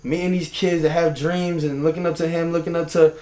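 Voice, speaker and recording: Young male guest, animated storyteller, drifts onto tangents, echoey room. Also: a little high, brisk. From Turkey, raised in Michigan and New York. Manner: slangy, rambling, goes on tangents, laughs often. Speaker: guest, hip hop artist. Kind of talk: casual interview chat. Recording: roomy room echo.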